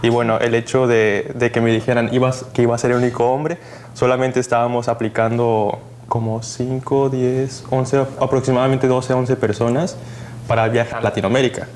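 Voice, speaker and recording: A young man from Mexico speaking as a student guest on a podcast, his voice at an ordinary pitch for a man. He tells his story in a relaxed way with many pauses and fillers, over a faint hiss of background noise. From Mexico, Jalisco. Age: young adult.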